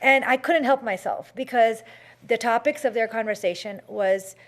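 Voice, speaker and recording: A woman hosts a talk show and tells a story in a casual, chatty way. She uses a lot of fillers and restarts. Her voice sits fairly high. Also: moderate pace.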